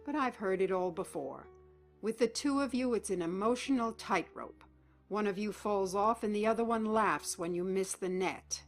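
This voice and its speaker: An older woman playing a sharp-tongued, unsentimental mother-in-law in a drama, dry and commanding. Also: mid-range voice, moderate pace, faint music behind, lightly acted. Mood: grave, insistent. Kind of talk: soap-style drama dialogue.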